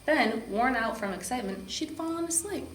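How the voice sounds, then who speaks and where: A woman reading a children's storybook aloud with expressive narration. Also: sits high, normal speed. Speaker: park district program supervisor reading to children. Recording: echoey room with background noise.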